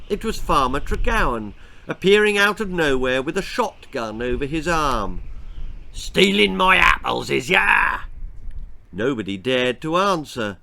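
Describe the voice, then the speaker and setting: A man's voice: mid-range voice, sharp tone. A man with an English accent reading a children's story aloud as a dramatic storyteller. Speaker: author reading own story.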